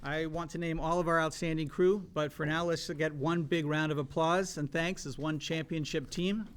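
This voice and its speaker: A man speaking to a gathering as the host of a table read, announcing names and thanks one by one with pauses. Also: fairly high pitch, fairly even intonation. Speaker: showrunner hosting a cast table read. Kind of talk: welcome speech and roll call read aloud to a gathering. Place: large room full of people at a live event. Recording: noisy room with loud crowd.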